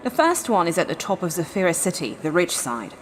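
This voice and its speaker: A woman playing a sly, predatory female vampire lieutenant in a fantasy audio drama, expressive, confident and teasing. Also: fairly low pitch, a little fast, slight background noise. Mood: confident, informative.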